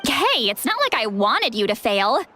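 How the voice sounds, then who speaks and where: Lively high-voiced ancient yet youthful heroine. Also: female voice, normal speed, exaggerated voice. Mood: teasing, confident. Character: ancient but youthful-sounding, dramatic, cheeky woman elder. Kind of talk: video game voice acting.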